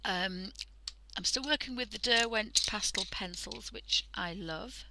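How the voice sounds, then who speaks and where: A woman with a croaky voice from a cold, chatting casually through a drawing tutorial on a headset mic and often dropping into half-whispers. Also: mid-range voice, unhurried, background noise. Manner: chatty, thinking aloud, drifting into half-whispers. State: hoarse from a cold. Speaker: art livestream host.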